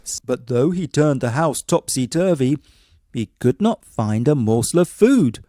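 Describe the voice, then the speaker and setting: A man with a mellow, medium-pitched voice tells a bedtime story. He reads expressively, like a practised storyteller, in a close, dry recording. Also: lively intonation, a little slow, no room sound. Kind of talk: scripted bedtime story narration.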